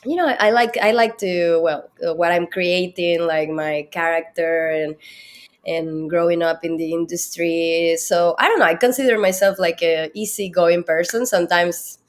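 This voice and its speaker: Giggly Venezuelan woman, lowish voice. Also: normal speed. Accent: Venezuelan Spanish. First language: Spanish. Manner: easygoing, giggly, hesitant with many uhs. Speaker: podcast guest. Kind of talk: casual interview chat.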